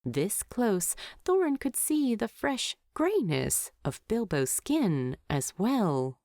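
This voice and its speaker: A woman's voice: medium pitch, sing-song, takes it slowly, dark tone, dry recording, clean studio sound. A woman narrating a scripted story as an audiobook-style reader.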